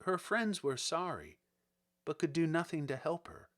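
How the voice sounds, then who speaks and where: A man narrating a children's storybook as an audiobook reader, in an expressive and measured manner. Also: on the high side.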